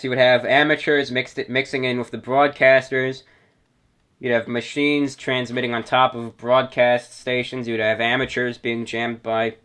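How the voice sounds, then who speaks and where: A man's voice: medium pitch, fairly bright tone. A man hosting a hobby show, talking informally to his listeners and explaining things step by step in a didactic way. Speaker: host of a radio hobby show.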